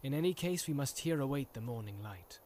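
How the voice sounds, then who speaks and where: A man reading an audiobook, voicing a grave, steady male ranger leader who speaks in a measured, solemn way. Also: medium pitch, slight background noise, natural voice.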